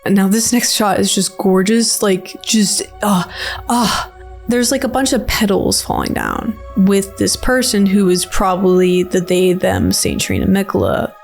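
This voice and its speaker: A woman's voice: medium pitch, animated, unhurried, vocal fry, faint music behind. A woman giving casual, rambling commentary on a video game trailer in a playful, slangy, informal way. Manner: informal, playful, slangy filler-heavy speech. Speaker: video creator and host.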